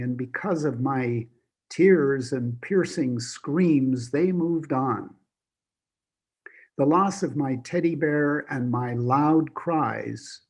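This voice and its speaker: A man's voice: mid-range voice, gravelly, a little mellow, husky. An elderly man in his eighties or older, reading a scripted personal account as a survivor. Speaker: Holocaust survivor giving testimony.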